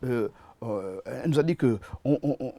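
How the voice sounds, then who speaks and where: A man with a Gabonese French accent, a musician and producer speaking as a guest in a TV studio interview, halting and often repeating his words. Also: medium pitch, animated, mellow.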